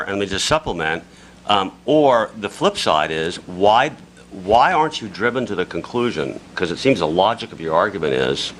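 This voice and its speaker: A man's voice: low-pitched, a bit bright, slight background noise, low-quality recording. A man asking an argumentative follow-up question on a panel, qualifying his points as he goes.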